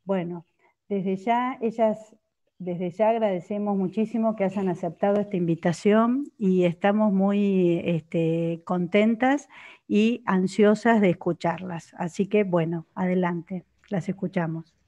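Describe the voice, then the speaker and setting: An Argentine woman opens an online panel as organizer, speaking haltingly with many fillers. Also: medium pitch, warm tone. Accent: Argentine Spanish. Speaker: organizer, host. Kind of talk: opening remarks at an online panel. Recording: dull lo-fi sound.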